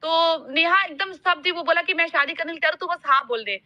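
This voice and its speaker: A woman's voice: very high voice, fast, no echo. A woman recounting and arguing casually on a recorded group phone call. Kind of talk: animated casual argument, recounting talk.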